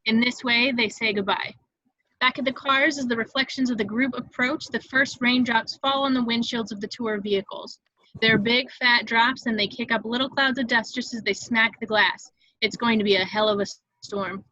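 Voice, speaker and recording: Bright, higher-pitched woman reading stage directions. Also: steady tone, moderate pace, no room sound, lo-fi sound. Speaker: narrator reading stage directions in a table read. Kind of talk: scripted narration of screenplay directions.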